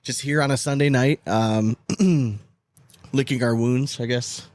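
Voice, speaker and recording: A man's voice: mid-range voice, fairly even intonation, a little slow, darkish tone, no echo. A man in his thirties hosts a casual podcast in an ordinary mid-range voice. He talks loosely and slangily, like chatting with buddies, and the sound is close and dry. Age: 30s. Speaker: podcast host.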